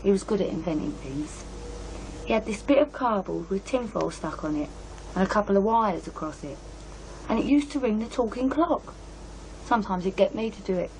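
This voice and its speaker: A woman's voice: mid-range voice, lively intonation, moderate pace, a little mellow, a little hiss or hum, close to an everyday voice, low-quality recording. Actress as blunt young British woman, guarded. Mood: confiding. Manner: blunt, colloquial. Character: blunt, guarded working-class young woman, matter-of-fact about family. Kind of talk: radio drama dialogue.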